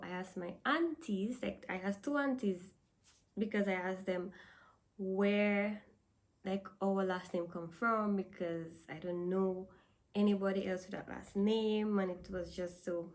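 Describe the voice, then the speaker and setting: A woman's voice: mid-range voice, darkish tone. A young woman from Dominica with a Dominican accent, chatting casually to camera and laughing often. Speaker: vlogger.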